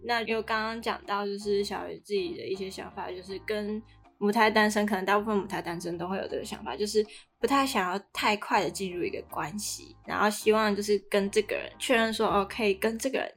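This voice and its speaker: A woman's voice: mid-range voice, steady tone, fast, fairly bright tone, slightly rough. A woman in her early twenties with a Taiwanese Mandarin accent co-hosts a casual, explanatory podcast, drawing out the particles at the ends of her phrases. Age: early 20s. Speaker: podcast co-host. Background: background music.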